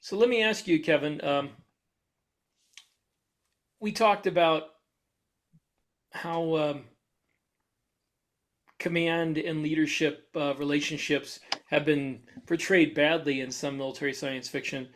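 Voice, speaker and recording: A man's voice: on the high side, steady tone, takes it slowly, pauses often. A man hosting a podcast in a casual, chatty interview style. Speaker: podcast host.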